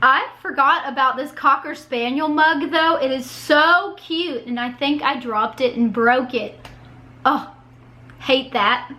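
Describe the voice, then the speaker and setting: A young woman, talking in a high, bright voice that sounds hoarse and a little nasal from a cold. She chats casually and pokes fun at herself as a YouTuber reacting to her old video. Her tone is clear and forward. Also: slow. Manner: self-mocking, chatty.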